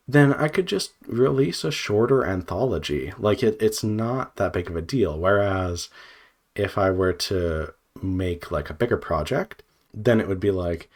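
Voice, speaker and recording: A man talking off the cuff in a casual, scattered way, thinking aloud with frequent hesitations and fillers. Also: sits low. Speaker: video creator, indie visual novel developer. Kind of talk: unscripted casual vlog monologue.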